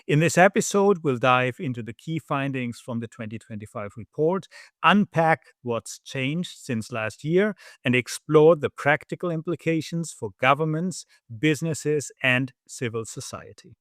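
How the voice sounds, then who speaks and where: Podcast host reading a measured intro. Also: male voice, a little high, animated, fairly bright tone, no room sound, studio quality. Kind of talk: scripted podcast introduction and questions.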